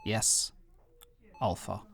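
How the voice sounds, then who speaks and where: A man playing a guilty, devoted brother and werewolf clan second in an audio roleplay drama, earnest and halting as he speaks. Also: fairly bright tone. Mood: defeated.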